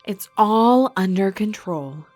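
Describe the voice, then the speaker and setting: Haughty, menacing villainess, wide-swinging delivery. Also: female voice, medium pitch, lively intonation, darkish tone, faint music behind. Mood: defensive. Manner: haughty, menacing, measured threats. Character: cold, imperious non-human woman villain running an arena. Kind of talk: dramatic audio drama acting.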